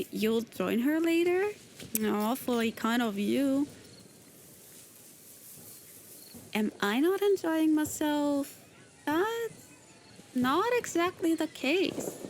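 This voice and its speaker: A woman voicing an aloof, haughty non-human woman who talks down to the listener in a slow, disdainful drawl, in a scripted roleplay. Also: high-pitched, darkish tone, slight background noise. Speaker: virtual streamer voicing her persona.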